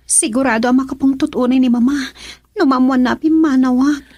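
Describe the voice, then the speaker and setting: An actress in an Ilocano radio drama playing a tender, anxious young student in love. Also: female voice, sits high, fairly even intonation, brisk, darkish tone, dry recording. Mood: worried.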